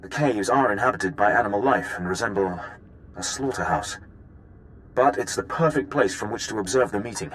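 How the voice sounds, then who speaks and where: An actor playing a cautious military intelligence officer in a video game, giving a formal, precise briefing. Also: male voice, fairly low pitch, moderate pace, fairly bright tone, close to an everyday voice, rough recording. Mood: measured, strategic.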